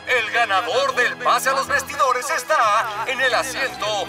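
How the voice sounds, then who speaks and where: An actor playing an excited stadium announcer who hypes up the crowd in a cartoon. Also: male voice, very high-pitched, measured pace. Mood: hyped. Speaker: arena announcer. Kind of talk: sports arena announcing. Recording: distant arena speaker, loud crowd and music.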